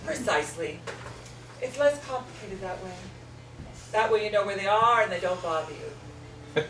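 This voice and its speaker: A woman playing a vain, sharp-tongued drag queen, bossy and theatrical, in a stage drama. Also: mid-range voice, animated, moderate pace, close to an everyday voice. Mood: wry. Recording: echoey room, distant mic, noisy.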